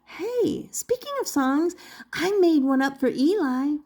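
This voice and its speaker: A woman's voice: high voice, expressive, slow pace, a little mellow, pitched above the performer's own voice, full character voice. A woman voicing an earnest, wistful young male puppet character in a children's storybook, hesitant and unsure of himself. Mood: hopeful.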